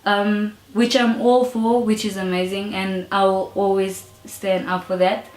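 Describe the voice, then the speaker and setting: A woman's voice: medium pitch, little pitch movement, measured pace, a little mellow. A young South African woman, a rap artist, talking openly and emphatically in an interview, often adding "you know". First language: English. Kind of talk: casual interview talk. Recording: roomy echo with faint music behind.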